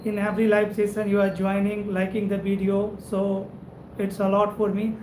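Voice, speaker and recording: A man's voice: high voice, completely flat delivery, normal speed, darkish tone. A man from India with an Indian English accent, hosting a live stream as a networking instructor. He talks in a friendly way, thanks viewers again and again, and often adds "right?" to his sentences. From India (Madhubani, Bihar). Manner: friendly, repetitive thanks, frequent "right?" tag. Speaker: networking instructor and channel host. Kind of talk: live-stream chat reading viewer comments. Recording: roomy echo, faint background noise.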